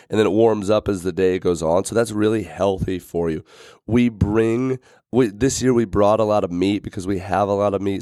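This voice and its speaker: A man hosting a health podcast in casual, rambling talk, his voice a bit raspy today. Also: lowish voice, a little mellow, no echo, clean studio sound. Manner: rambling, many fillers and restarts. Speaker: podcast host.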